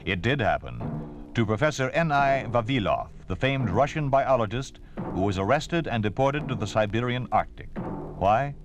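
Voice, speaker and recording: A man's voice: medium pitch, expressive, moderate pace, dull audio, music in the background. An American man announcing on 1950s radio, reading a solemn script. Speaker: announcer, military sergeant.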